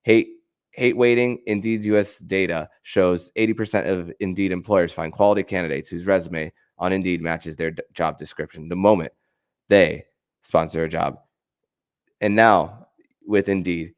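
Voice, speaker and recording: Deep-voiced, clear male sports podcast host. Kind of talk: sports podcast hosting with ad reads.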